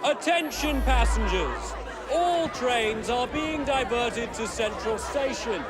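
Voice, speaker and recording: An actor playing a flustered, officious railway announcer in a video game, speaking in a formal, projected manner. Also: male voice, very high voice, expressive, slow pace, full character voice. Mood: projected, official. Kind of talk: dramatic video game acting. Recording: loud music and crowd noise behind. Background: busy station crowd and score.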